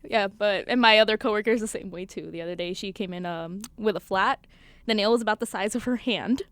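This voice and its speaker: A woman's voice: medium pitch, brisk, a bit bright, smooth, dry recording. A woman hosting a podcast, chatty and playful, laughing often as she tells stories and teases her guest. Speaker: podcast host. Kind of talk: casual podcast interview.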